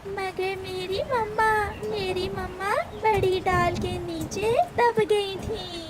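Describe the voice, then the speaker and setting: Woman as a high, frightened little girl bird. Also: steady tone, slow pace, background noise. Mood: tearful. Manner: childlike, plaintive.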